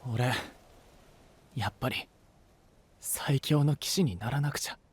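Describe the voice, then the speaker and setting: A man playing an earnest, self-reproaching young apprentice knight in an anime-style audio drama, with a breathy, husky voice and intense delivery. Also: mid-range voice, measured pace, darkish tone. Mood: resolute. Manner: intense, brooding, emotionally swinging delivery.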